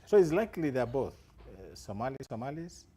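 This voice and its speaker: A man's voice: mid-range voice, big pitch swings, a little fast, warm tone, slightly rough. A man, a security analyst guest on live TV news, explaining with assurance and emphatic rises.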